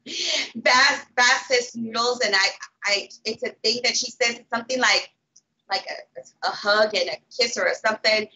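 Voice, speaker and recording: Bright raspy woman in her thirties, chatting playfully. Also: fairly high pitch, normal speed, highs cut off. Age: 30s. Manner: playful, exclamatory. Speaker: guest on a live stream. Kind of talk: casual chat.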